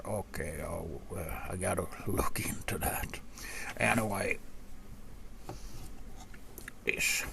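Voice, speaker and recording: A man playing a gruff, seasoned vampire hunter in a soft-spoken ASMR roleplay, half-whispering and muttering slowly to a rookie with casual asides. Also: low voice, full character voice. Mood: pondering, then possessive, instructive. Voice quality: gravelly, breathy half-whisper. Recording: clearly audible background noise.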